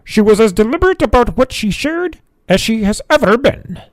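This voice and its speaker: A man's voice: very high-pitched, sing-song, normal speed, dry recording. A man playing an earnest, deferential monk in an audio drama, speaking in a polite, precise, formal manner. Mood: earnest, reverent. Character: earnest, deferential monastery brother.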